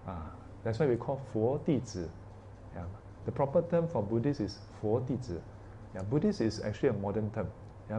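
A man's voice: medium pitch, warm tone, slight background noise. A man teaching an informal Buddhist class, chatty and asking his listeners questions, often tagging sentences with "yeah" and "ah". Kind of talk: informal dharma class talk.

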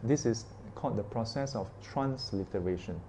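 A man's voice: fairly low pitch, moderate pace, warm tone, slight background noise. A man teaching an informal Buddhist class, chatty and asking his listeners questions, often tagging sentences with "yeah" and "ah". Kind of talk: informal dharma class talk.

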